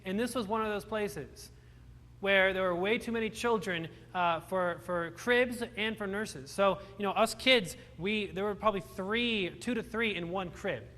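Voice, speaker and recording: A man's voice: high voice, normal speed, fairly bright tone, long reverb tail. A young man born in Romania, telling his life story with self-deprecating humor to a live audience, often restarting his sentences. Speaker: motivational speaker. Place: large hall with audience.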